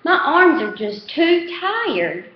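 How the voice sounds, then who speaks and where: Lady putting on whiny lil storybook boy, lazy, high voice, echoey room. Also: big pitch swings, slow pace, dull audio, full character voice. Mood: whining. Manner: whining. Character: whiny, lazy four-year-old little boy.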